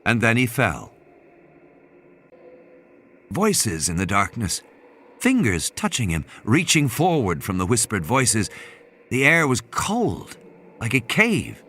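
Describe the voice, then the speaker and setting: A man's voice: mid-range voice, huge pitch swings, slow pace, pauses often, pushed and bright, slight background noise. A man reading a novel as dramatic scripted narration, with background sound behind him. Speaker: audiobook narrator. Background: ambient sound effects.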